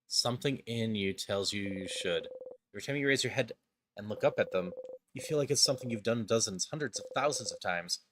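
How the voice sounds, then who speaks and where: A man streaming a video game, chatting casually and reading its narration aloud in a thoughtful, relaxed way. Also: fairly low pitch, fairly quick, noisy background. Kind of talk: casual gameplay chat and dramatic narration. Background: background music.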